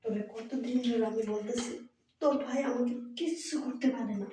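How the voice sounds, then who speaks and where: A woman's voice: a little high, brisk, mellow, recorded from a distance, close to an everyday voice. Actress as seductive married woman, Bangladeshi Bengali accent, bold, insistent, short-film drama, very echoey room, faint noise. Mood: impatient, secretive. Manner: coaxing, insistent. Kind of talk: low-budget short film acting.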